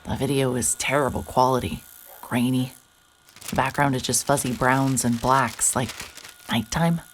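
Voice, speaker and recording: A sardonic female private eye with a strikingly low voice. She narrates a horror audio drama in terse, wary noir phrases, as if muttering to herself. Some background ambience sits behind her. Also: fairly even intonation, measured pace, slight background noise. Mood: weary, wry. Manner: muttering to self, wry, terse. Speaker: lead character and narrator.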